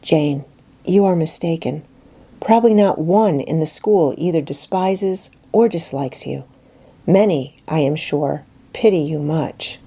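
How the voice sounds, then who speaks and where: A woman voicing a calm, pious schoolgirl friend in a storybook reading, measured, earnest and gently reproving. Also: deep voice, slightly rough, heavily acted. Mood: calm, reassuring. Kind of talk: dramatised audiobook reading.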